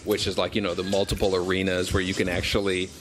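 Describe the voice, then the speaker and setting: A man's voice: deep voice, completely flat delivery, fast talker. A man giving casual interview answers as a game developer, often repeating words as he explains. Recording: clearly noisy background ambience.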